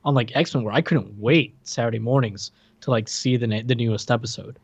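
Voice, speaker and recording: A man's voice: medium pitch, brisk, slightly rough, no echo, dull audio. A man co-hosting a podcast, chatting casually and hesitantly with lots of fillers. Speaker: podcast co-host. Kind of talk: casual chat.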